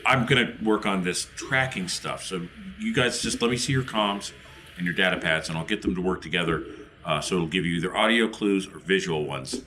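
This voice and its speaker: A man's voice: low voice, fairly quick, pushed and bright, a little hiss or hum. A man playing a tabletop role-playing game, improvising casually in character.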